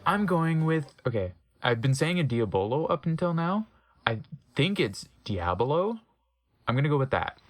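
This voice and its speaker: A man's voice: mid-range voice, sing-song, normal speed, no echo. A man narrating fan fiction as a dramatic comedic reading, breaking off for wry offhand asides and stumbling over odd wording. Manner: wry, stumbles over misspelled words and comments on them.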